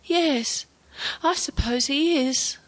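A woman reading a story as a gentle, elderly grandfather, halting, plaintive and apologetic. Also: mid-range voice, animated. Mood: sad, worn out. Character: unsettled, grieving elderly grandfather, frail and gentle.

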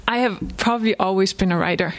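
A woman from Denmark, a native Danish speaker with a Danish accent, speaks informally and haltingly as an author addressing an audience. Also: mid-range voice. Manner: halting, frequent self-repetition, chuckles often. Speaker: author giving a book talk. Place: live book event before an audience, recorded for a podcast.

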